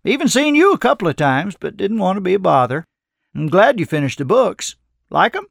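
A man's voice: sits high, big pitch swings, a little fast, creaky, dry recording, studio quality, voice pushed up, exaggerated voice. A man voicing a kindly old wandering mentor with a playful, sage-like, teasing manner in an audiobook.

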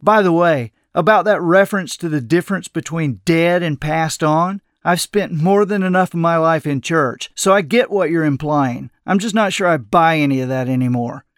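A man's voice: fairly high pitch, normal speed, hardly any pauses, no room sound, clean studio sound, lightly acted. A man voicing a bitter, troubled young man who speaks in a curt, sarcastic, defensive way, as dialogue in an audiobook. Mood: skeptical.

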